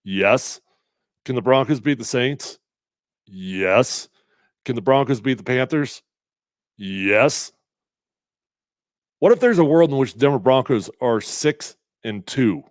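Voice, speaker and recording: A middle-aged man hosting casual sports talk, a little congested, energetic and emphatic as he reads comments and makes predictions. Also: mid-range voice, slow pace, long pauses, dry recording, studio quality. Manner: energetic, conversational, emphatic with fillers. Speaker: sports radio host previewing a game.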